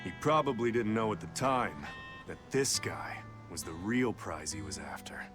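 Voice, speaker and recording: An actor playing a brash, hot-blooded roguish fighter in a video game, cocky and slangy, with music underneath. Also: male voice, lowish voice, lively intonation, normal speed, natural voice. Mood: reflective, gritty. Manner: cocky, rough slangy talk. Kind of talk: English video game dub acting.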